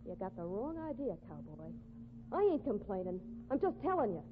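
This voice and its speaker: A woman's voice: medium pitch, sing-song, normal speed, natural voice. Woman acting a tough jealous cowgirl, tinny radio. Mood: threatening. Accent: American. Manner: scolding, blunt, folksy slang. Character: tough, jealous, threatening cowboy's fiancée. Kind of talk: 1950s radio drama acting. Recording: thin, noisy vintage radio recording.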